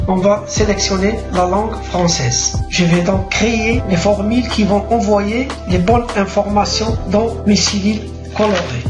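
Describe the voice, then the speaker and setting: Male tutorial narrator, methodical step-by-step delivery, roomy echo, music bed. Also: sits high. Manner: steady step-by-step dictation of clicks and formulas. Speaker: software tutor. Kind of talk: screen-recorded tutorial narration. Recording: echoey room with music behind.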